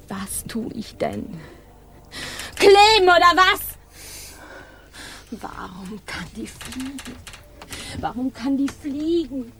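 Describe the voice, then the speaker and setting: Hohe, bissige Frauenstimme im Hörspiel. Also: female voice, fairly high pitch. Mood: mocking. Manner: biting, declamatory. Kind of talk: German radio drama acting.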